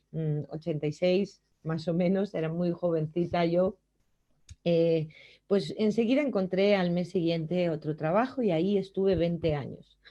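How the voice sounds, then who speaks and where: A middle-aged woman from Germany telling her personal work story in an earnest, reflective way, often pausing to hesitate. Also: lowish voice, dark tone, highs cut off. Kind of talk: personal testimony.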